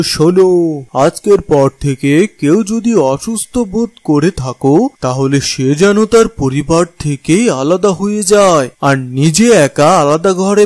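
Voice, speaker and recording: A man's voice: a little high, darkish tone, dry recording. A man playing a solemn royal proclaimer in a children's cartoon, declaiming instructions to the people. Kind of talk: children's cartoon fairy-tale voice acting.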